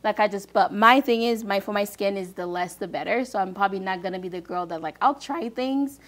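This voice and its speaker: A woman in her thirties from Haiti, co-hosting a casual talk show from a studio sofa, talking in a chatty, animated way with lots of "like". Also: medium pitch, fairly quick. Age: 30s. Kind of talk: casual chat. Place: TV studio set, seated on sofa.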